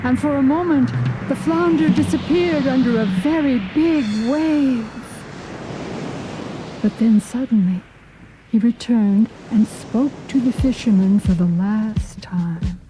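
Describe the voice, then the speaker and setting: A woman's voice: on the high side, slow, noisy background. A woman narrating a folk tale as scripted storybook narration for English learners.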